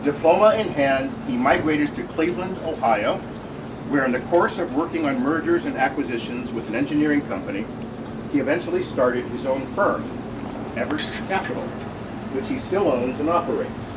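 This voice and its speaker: A man's voice: mid-range voice, moderate pace. A man reading a humorous tribute speech at a celebration, with wry, joking asides. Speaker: speech reader at a birthday celebration. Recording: distant mic, loud room noise.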